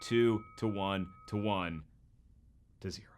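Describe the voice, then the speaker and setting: A man hosting a show, delivering scripted entertainment commentary in an animated, emphatic manner. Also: lowish voice, slow pace, noisy background. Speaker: show host.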